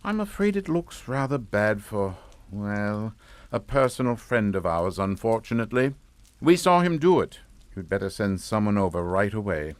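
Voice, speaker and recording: A man's voice: on the low side, sing-song, normal speed, no echo. An actor playing a genteel, middle-aged family man in a suspense drama, pompous and formal with a falsely jovial manner. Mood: grave, formal. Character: genteel English family patriarch, smoothly hypocritical and unflappable. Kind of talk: radio suspense drama acting.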